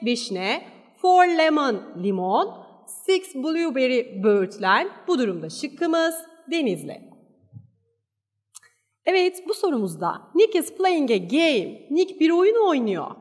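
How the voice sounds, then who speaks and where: Lively high-voiced Turkish woman teacher. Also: in a large hall. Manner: reads English lines then translates them into Turkish. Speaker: English teacher. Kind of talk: exam-prep lesson over slides. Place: voice-over on slides.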